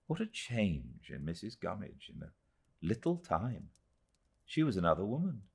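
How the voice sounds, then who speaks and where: A British man reads literary fiction in a low, creaky voice. His delivery is expressive and measured, with dramatic phrasing and wide swings of intonation. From Britain. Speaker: audiobook narrator.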